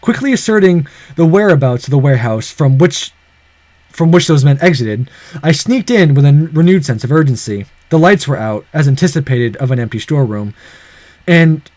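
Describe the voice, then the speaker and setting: A man plays through a visual novel, reading it out with a lot of drama. His voice sits fairly high and swings widely in pitch, and he drops in sarcastic asides. The sound is dry and close. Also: a little mellow, no echo. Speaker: let's-player reading a visual novel aloud. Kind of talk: dramatic read-aloud with playful commentary.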